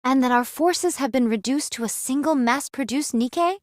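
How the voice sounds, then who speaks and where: A woman acting as an eccentric young android from an anime game, high in pitch and very dry in sound. She speaks with solemn, theatrical grandeur, in archaic and formal turns of phrase. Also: normal speed, dry recording, close to an everyday voice. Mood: grave, despairing.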